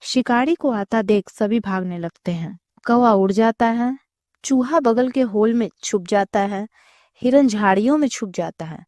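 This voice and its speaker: Female storyteller for kids' tales, soft dark tone, very dry close recording. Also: a little high, measured pace, dry recording. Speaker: children's storyteller. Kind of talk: scripted story narration.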